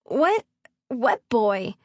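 A woman's voice: a little high, sing-song, extremely slow, no room sound, full character voice. A woman voicing a baron's distraught teenage daughter, emotional and protesting, in an audiobook. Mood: defensive.

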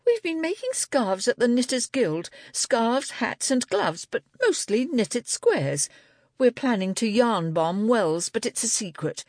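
A woman's voice: on the high side, big pitch swings, normal speed, darkish tone, no room sound, clean studio sound, voice pushed up. A woman narrator voicing an earnest, peacemaking woman who knits, in an audiobook. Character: earnest woman knitter, apologetic peacemaking friend.

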